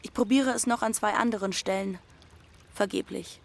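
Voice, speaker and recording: A woman's voice: medium pitch, normal speed, gravelly, a little hiss or hum, close to an everyday voice. A woman playing a defiant teenage runaway girl who narrates her journey matter-of-factly in an audio drama. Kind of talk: first-person literary narration in audio drama.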